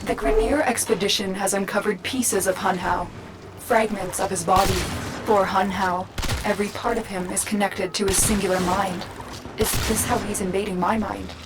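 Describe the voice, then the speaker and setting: A woman voicing a grave, protective mission guide in a video game, solemn, measured and earnest. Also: medium pitch, steady tone, lightly acted, rough recording. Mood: grave urgency. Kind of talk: scripted video game dialogue. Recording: voice over clear background music.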